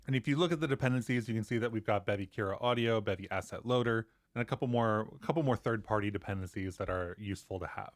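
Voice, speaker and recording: Guy doing a coding tutorial, talks fast, lowish voice, super dry close sound, conversational. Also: dry recording. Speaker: tutorial presenter. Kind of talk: conversational tech tutorial narration.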